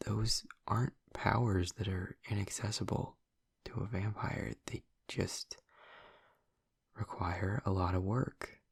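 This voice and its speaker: A man playing a gentle, earnest vampire boyfriend in an intimate audio roleplay, speaking softly and haltingly in a husky, breathy, hushed voice. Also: fairly low pitch, fairly even intonation, no room sound, studio quality, full character voice. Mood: earnest, resolute. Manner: halting, soft-spoken, confiding with long pauses. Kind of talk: intimate audio roleplay, ASMR-like soft delivery.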